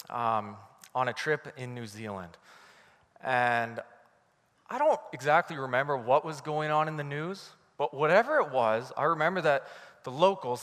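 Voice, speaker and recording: A Canadian man preaching a sermon from the pulpit, telling a story in a lively, animated way. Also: medium pitch, long reverb tail. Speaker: pastor.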